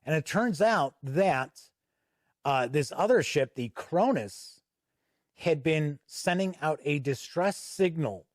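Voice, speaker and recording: Slow, deliberate man reviewing a product. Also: a little high, animated, no room sound, studio quality. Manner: measured, explanatory, with deliberate pauses. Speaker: host and reviewer. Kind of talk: unscripted product review walk-through.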